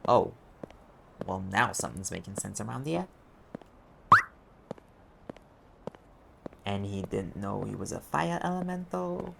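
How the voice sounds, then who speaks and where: A man's voice: fairly low pitch, creaky, heavy background noise. A man playing a sarcastic, streetwise demon private investigator in an audio roleplay, talking in an offhand, sardonic way. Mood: probing, sardonic. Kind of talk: conversational audio roleplay acting.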